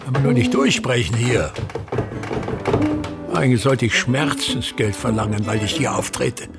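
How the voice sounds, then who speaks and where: An actor playing a pompous, embittered aging stage actor in a radio drama, declaiming grandly and with self-pity. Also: male voice, mid-range voice, gravelly. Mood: irritated, scornful. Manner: grandiloquent, declaiming, self-pitying, repeats phrases. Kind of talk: theatrical German-language radio drama monologue.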